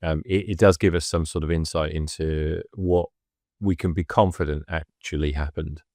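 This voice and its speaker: A man co-hosting a podcast and explaining history in casual chat, measured and hesitant with many ums. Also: rumbling low, fairly even intonation, darkish tone, no echo, clean studio sound.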